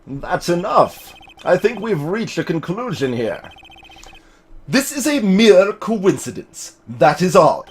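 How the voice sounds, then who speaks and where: A man's voice: sits high, sing-song, takes it slowly, slight background noise, exaggerated voice. A man voicing a haughty, commanding prosecutor in a video game, with a pompous, clipped and dismissive delivery. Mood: curt, commanding.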